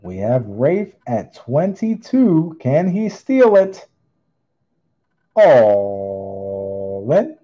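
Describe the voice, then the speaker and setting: Male online auctioneer, slow-paced and mellow, theatrical singsong bid calls, slightly muffled recording. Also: mid-range voice, very animated. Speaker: online auctioneer, channel host. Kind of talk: live online coin auction calling. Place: indoors at a tabletop display.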